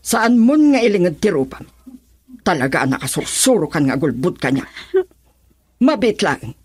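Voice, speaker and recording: A woman's voice: fairly low pitch, very animated, moderate pace, darkish tone, breathy edge, dry recording. An actress with an Ilocano Filipino accent playing a stern, probing mother in a radio drama. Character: stern, probing mother questioning her daughter.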